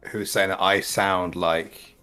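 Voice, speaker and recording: British male co-host, deep voice, dry wit, casual chat. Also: flat delivery, unhurried, bright, forceful tone, smooth, faint music behind. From Britain. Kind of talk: casual live stream chat.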